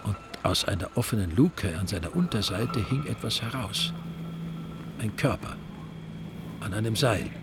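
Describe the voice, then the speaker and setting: A man's voice: on the low side, expressive, normal speed, pauses often, heavy background noise. A man narrating a scripted crime story in a dry, wry storytelling manner. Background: music under the narration.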